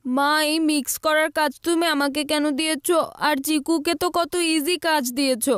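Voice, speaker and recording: A woman's voice: high-pitched, fairly even intonation, moderate pace, dry recording, natural voice. A woman voicing Bunty, a whiny, demanding young boy in a cartoon.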